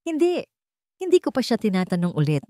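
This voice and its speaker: A woman's voice: on the high side, big pitch swings, a little fast, mellow, no echo, studio quality, pitched above the performer's own voice. Woman voicing a cold, vengeful male boss, Filipino. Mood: cold resolve. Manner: cold, resolute.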